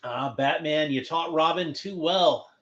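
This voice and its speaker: A man hosting a watchalong, giving casual fan commentary in a halting, conversational way, thinking aloud as he goes. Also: fairly high pitch, fairly bright tone. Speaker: host of a cartoon watchalong commentary. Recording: dull lo-fi home recording, background noise.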